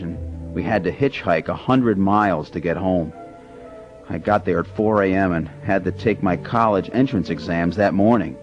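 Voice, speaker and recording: A man's voice: low-pitched, darkish tone, natural voice. Man playing a young drug dealer looking back. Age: young adult. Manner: earnest, confessional. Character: teenage drug dealer telling his past. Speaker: narrator. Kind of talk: radio drama narration and acting. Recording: dull radio broadcast with music. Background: music.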